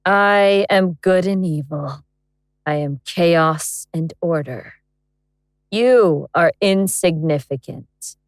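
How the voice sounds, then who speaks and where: Horror audio drama: actress as a menacing elder goddess, disdainful and grandiose, unhurried delivery, close dry sound. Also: female voice, fairly low pitch, no echo. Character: cold, contemptuous ancient elder goddess awakening to devour humanity.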